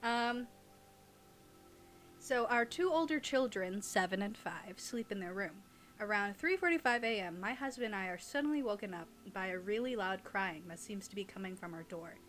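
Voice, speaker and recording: A woman's voice: mid-range voice, lively intonation, normal speed, a bit bright, a little hiss or hum. A young adult woman co-hosting in a casual, giggly, teasing way, chatting and reading aloud. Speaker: podcast co-host and audio roleplay creator.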